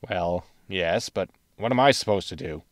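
An actor playing a pragmatic, wry, world-weary spaceship captain in a science fiction audio sitcom. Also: male voice, sits low, normal speed, dry recording, clean studio sound, natural voice. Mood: wry concern.

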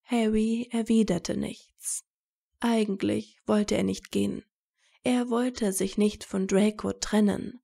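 A woman reading scripted romantic fiction as a narrator, with a measured storytelling delivery. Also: medium pitch, vocal fry, mellow, slightly rough.

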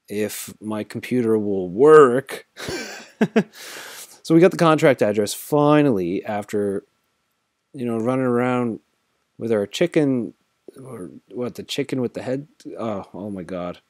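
A man at his desk talking through a screen recording in a relaxed, rambling way, full of ums and half-finished thoughts. He sounds tired. His voice sits at an ordinary middle pitch, and the sound is close and dry. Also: slow, long pauses, dry recording. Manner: rambling, thinks aloud with many fillers. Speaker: crypto tutorial host. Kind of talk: casual screen-recorded walkthrough.

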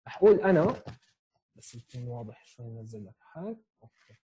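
A man's voice: medium pitch, very animated, fast talker, mellow, husky, rough recording. Young Syrian man teaching over earphones. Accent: Syrian Arabic. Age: 20s. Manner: explains step by step, checks in with "okay?" often. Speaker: sign language teacher. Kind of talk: online lesson. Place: small room at home, indoors. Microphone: earphone headset mic on a video call.

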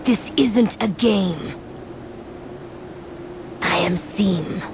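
Solemn mystical monk. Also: female voice, mid-range voice, noisy background. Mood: stern. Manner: grave, deliberate, foreboding. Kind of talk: video game acting.

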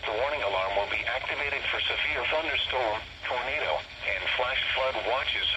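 Male weather radio announcer, formal scripted read, mid-pitched, very noisy playback from a small radio speaker. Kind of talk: scripted weather bulletin reading. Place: played through a small weather radio indoors. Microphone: camera near the radio speaker.

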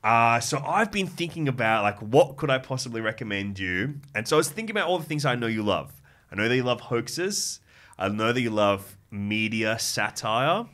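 A young Australian man hosting a casual chat, enthusiastic and quick to agree, speaking into a broadcast mic in a small studio. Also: medium pitch, animated, a bit bright. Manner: enthusiastic, agrees often with yeah. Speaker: host. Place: small studio set made up as a video store. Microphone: broadcast mic on a boom arm.

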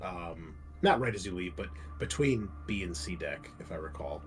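A man's voice: low-pitched, lively intonation, moderate pace, music in the background. A man acting as game master, talking casually and thinking aloud as he checks the rules. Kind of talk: casual tabletop game refereeing.

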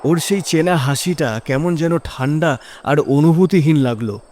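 A man's voice: fairly high pitch, moderate pace, darkish tone. A man narrating a scripted first-person horror story in a dramatic, suspenseful manner.

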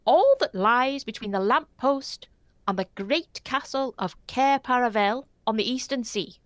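A man reading aloud, voicing a nervous, courtly male faun in a high, lifted voice with fretful, old-fashioned politeness. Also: a little slow, fairly bright tone, no echo, clean studio sound. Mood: fussy polite. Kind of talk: audiobook character voice.

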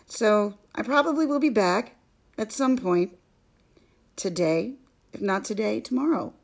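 Mom vlogger with a gravelly scratchy voice, kinda hoarse, rambles and laughs a lot. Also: female voice, mid-range voice, unhurried. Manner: chatty, rambling, laughs often. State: hoarse from allergies. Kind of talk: casual chat to camera.

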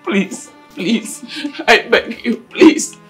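A woman's voice: on the high side, animated, drawn-out words, breathy edge, faint music behind, full character voice. A middle-aged Nigerian woman playing a desperate, humble mother in a film drama, begging tearfully and repeating her pleas. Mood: tearful pleading. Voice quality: tearful, wailing delivery. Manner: pleading, repetitive. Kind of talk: emotional film drama acting.

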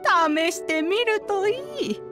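A woman's voice: very high voice, normal speed. A woman playing a wise, kindly old woman sage in a video game, with archaic elderly phrasing. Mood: gentle, encouraging. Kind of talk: Japanese video game voice acting. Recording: background music under the voice.

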